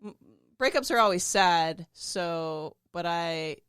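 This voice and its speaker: A woman's voice: on the low side, slow, clear, resonant tone, dry recording, clean studio sound. A woman co-hosting a podcast in casual chat, steering the talk with questions. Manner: steady, leads the discussion with questions. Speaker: podcast co-host.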